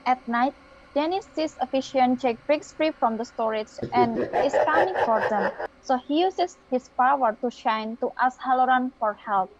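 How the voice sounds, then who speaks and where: High-voiced young woman narrating, muffled. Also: moderate pace. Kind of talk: scripted dramatic story narration. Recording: muffled, noisy home-style audio.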